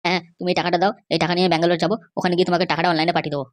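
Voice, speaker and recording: A woman's voice: fairly low pitch, little pitch movement, close to an everyday voice. A woman voicing a scheming, pleading young runaway man in a comedy cartoon, with a pushy, wheedling manner. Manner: wheedling, pushy, fast-talking.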